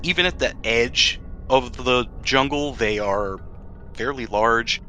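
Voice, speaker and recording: A man's voice: medium pitch, slow pace, sharp tone, a little hiss or hum. A man improvising narration as the game master of a tabletop role-playing session.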